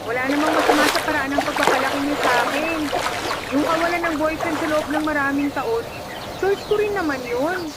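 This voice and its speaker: A woman playing a shy, demure young lady who is hesitant and gently defensive in audio drama dialogue. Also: sits high, a little fast, very noisy, close to an everyday voice. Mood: gently scolding. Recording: voice under loud music. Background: loud background music.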